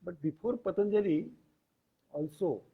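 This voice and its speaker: A man giving an explanatory lecture, deliberate and pausing between short phrases. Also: high voice, husky. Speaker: yoga lecturer. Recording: tinny, phone-like band-limited audio.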